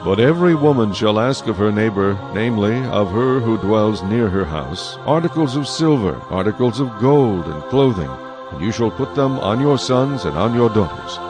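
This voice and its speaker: An actor playing a solemn, commanding God in a dramatized scripture reading, with measured, authoritative delivery. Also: male voice, fairly low pitch, expressive, close to an everyday voice. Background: faint music.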